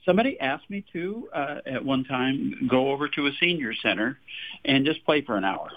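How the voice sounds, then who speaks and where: A man's voice: mid-range voice, expressive, normal speed. A singer-songwriter from Texas in his sixties, chatting as a radio interview guest, laughing often and repeating words as he starts his thoughts. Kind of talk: radio interview, casual storytelling. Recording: phone line, tinny.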